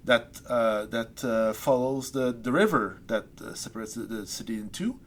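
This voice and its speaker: A man, a poet being interviewed, talking things through slowly with many restarts and trailing pauses. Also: mid-range voice, slight background noise. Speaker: poet guest. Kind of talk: reflective interview chat. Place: Saskatoon resident.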